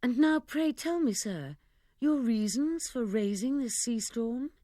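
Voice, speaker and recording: A woman doing a gentle, wondering young girl. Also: mid-range voice, big pitch swings, a little slow, no echo, clean studio sound. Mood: curious.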